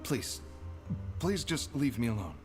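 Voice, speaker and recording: An actor playing a nervous, apologetic, evasive society recruiter in a video game, halting and stammering. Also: male voice, mid-range voice, big pitch swings, over loud music.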